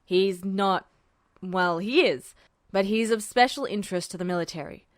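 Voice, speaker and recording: A woman's voice: mid-range voice, moderate pace, dry recording, studio quality, pitched below the performer's own voice, lightly acted. A woman voicing a curt, official male military officer in an audiobook-style story. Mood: defensive, official.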